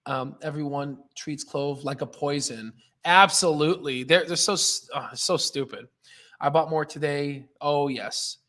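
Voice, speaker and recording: Man, somewhat high pitch, live stream host, casual trading commentary, excitable, emphatic, joking manner. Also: unhurried.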